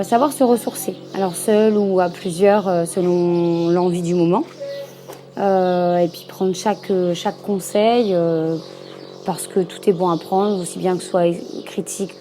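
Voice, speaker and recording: A woman in her thirties gives advice in a hesitant interview answer, pausing often. Her voice is lowish and mellow. Faint music plays behind her. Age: 30s. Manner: hesitant, many fillers. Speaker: trainee social youth worker.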